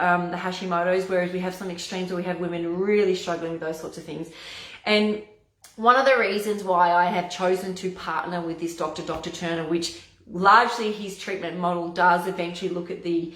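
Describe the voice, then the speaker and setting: A woman naturopath with a hoarse, husky voice, chatting casually to camera and often stumbling over and restarting her words. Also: on the low side, fairly bright tone. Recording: echoey room.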